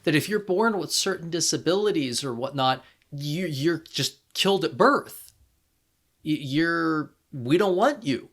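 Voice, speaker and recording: A man co-hosting a podcast, talking casually as a fan and thinking aloud in a halting way. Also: fairly high pitch, lively intonation, fairly bright tone. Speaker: podcast co-host.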